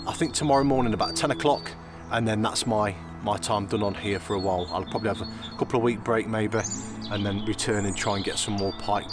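A man narrating his own fishing trip outdoors by a drain, chatty and easygoing, often repeating himself. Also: mid-range voice. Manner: chatty, repeats "it really does". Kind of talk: casual outdoor vlog narration. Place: outdoors on a fenland drain bank.

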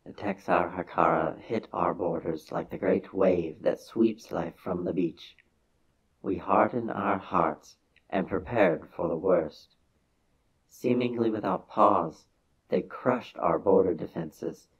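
A man with a husky voice giving a solemn, measured, theatrical reading of a science fiction story as its narrator. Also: sits low, dull audio.

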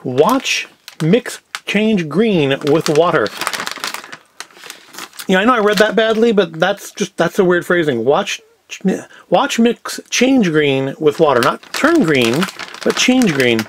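Lively, high-pitched man reviewing casually. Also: slow. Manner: wry, self-deprecating asides. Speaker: host of a food review show. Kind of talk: casual unscripted product review. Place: indoor tabletop set. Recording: clear background noise, packaging crinkles. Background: clicks and crinkling packaging.

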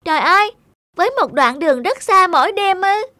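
A woman playing a kind-hearted young female dog owner in an animated moral tale, speaking earnestly. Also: extremely high pitch, fairly quick, full character voice. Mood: moved.

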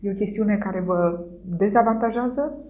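A woman's voice: medium pitch, a little mellow. A woman in her thirties interviewing a politician, asking formal, polite, probing questions in a studio. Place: newsroom studio, indoors.

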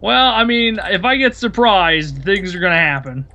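A man's voice: high voice, animated, moderate pace, bright, forceful tone, muffled recording. A man bantering casually with friends while playing an online game, with faint noise behind him. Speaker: player in an online horror game.

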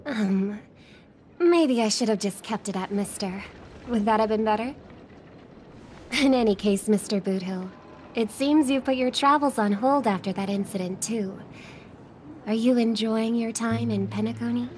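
A woman playing a gentle, polite young singer in a video game, speaking softly and with measured care. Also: on the high side, slight background noise, close to an everyday voice. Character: gentle young female pop singer, caring, polite, thoughtful. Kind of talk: video game story acting.

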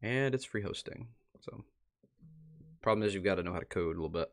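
A man's voice: deep voice, brisk, soft background music. A man hosting a casual livestream, chatting and muttering asides to himself as he goes through posts at his computer. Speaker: livestream host. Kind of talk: casual livestream commentary, reading posts aloud. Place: indoors at a computer.

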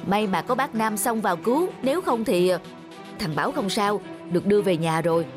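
A woman's voice: medium pitch, a little fast, over music, lightly acted. Worried, talkative cartoon auntie voiced by a woman. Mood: worried, urgent. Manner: explanatory, concerned. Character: worried, talkative middle-aged neighbour woman. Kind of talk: cartoon dubbing.

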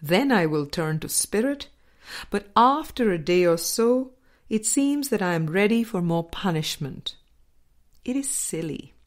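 A woman reading a spiritual audiobook as scripted narration, in measured, deliberate phrases with pauses. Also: mid-range voice, lively intonation. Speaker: audiobook reader.

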